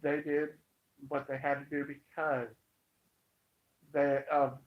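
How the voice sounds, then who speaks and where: An American man giving an informal, opinionated monologue to viewers, emphatic and halting, repeating himself to drive points home. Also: a little high, level tone. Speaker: online commentator. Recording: dull, muffled lo-fi sound.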